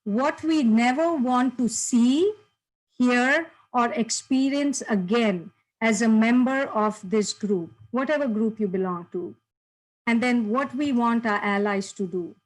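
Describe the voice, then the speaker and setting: A woman from India with a fairly high voice leads participants through an online workshop. She speaks in an encouraging way and laughs easily. The sound is from a video call. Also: a little slow, rough recording. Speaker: workshop presenter.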